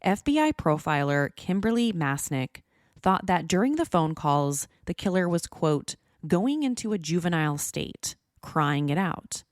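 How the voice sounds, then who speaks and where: Woman podcast host reading true crime script, low voice, really dry close sound. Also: no echo.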